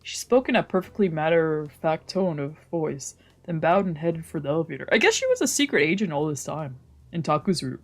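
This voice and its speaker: A woman's voice: deep voice, very animated, brisk, hardly any pauses. A woman streamer reading a visual novel aloud as narration, with casual commentary of her own.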